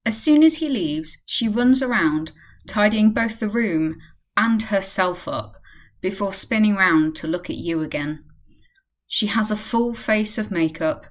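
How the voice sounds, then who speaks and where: A woman's voice: mid-range voice, animated, measured pace. A woman narrating a scripted radio play.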